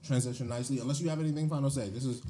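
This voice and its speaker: A man co-hosting a podcast in a relaxed, playful way, hyping up the guest with quick interjections. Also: mid-range voice. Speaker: podcast co-host. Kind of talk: casual chat. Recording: noisy background.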